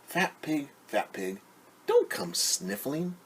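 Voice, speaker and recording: A man voicing an anxious mother from a storybook, pleading in a sing-song, drawn-out way with hushed shushing for quiet around her baby. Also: on the high side, wildly swooping pitch, a little hiss or hum, pitched above the performer's own voice, heavily acted. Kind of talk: storybook read-aloud, rhythmic refrain.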